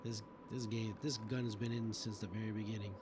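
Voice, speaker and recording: A man's voice: fairly low pitch, little pitch movement, brisk, background noise. A man giving casual behind-the-scenes commentary over gameplay, talking as a former developer. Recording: loud game music behind.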